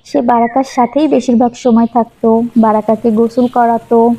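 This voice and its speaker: A woman's voice: fairly high pitch, flat delivery, moderate pace, dark tone. A woman narrating a children's story from a script. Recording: dull, lo-fi sound.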